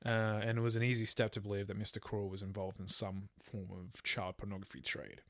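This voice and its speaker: A man's voice: fairly low pitch, fairly even intonation, brisk, a bit bright, dry recording. A man co-hosting a true crime podcast, telling a case from notes in casual language, stumbling and correcting himself. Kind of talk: semi-scripted case narration with casual chat.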